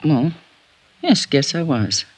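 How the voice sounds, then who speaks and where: A man's voice: a little high, very animated, slow, no echo, full character voice. A man playing a loyal, troubled old-time ranch servant with a folksy, deferential American manner, in 1950s radio drama style. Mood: hesitant, reflective. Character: loyal, troubled ranch servant, plain-spoken, long in service.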